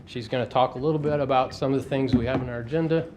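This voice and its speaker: A man's voice: mid-range voice, steady tone, rapid-fire, noisy background. Casual man introducing a seminar speaker. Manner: casual, conversational. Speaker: attorney moderating a seminar. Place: seminar room.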